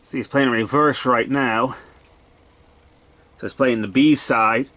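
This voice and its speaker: A man's voice: mid-range voice, fairly even intonation, takes it slowly, bright, forceful tone, dry recording. A man giving a casual hands-on equipment walkthrough in short, clipped, matter-of-fact phrases, recorded indoors on a handheld camera. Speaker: gadget demonstrator. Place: indoors, cluttered home room.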